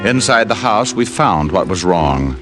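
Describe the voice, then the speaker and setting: A man's voice: low voice, very animated, measured pace. An actor playing a dry, wisecracking male private detective who narrates a 1950s radio drama. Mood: wry. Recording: old radio broadcast with faint music.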